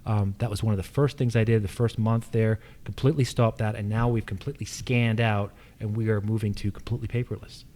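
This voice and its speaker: American man, incumbent candidate, lowish pitch, dark mellow tone, matter-of-fact, halting explanations. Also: steady tone. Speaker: political candidate, incumbent official. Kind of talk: candidate forum answer.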